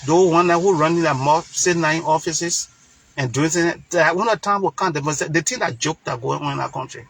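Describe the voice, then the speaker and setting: A man's voice: on the high side, brisk, creaky, fairly bright tone, a little hiss or hum, poor audio. A man from Liberia with a Liberian accent, giving an impassioned political monologue in an emphatic, preacher-like way, repeating phrases for stress. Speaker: political commentator.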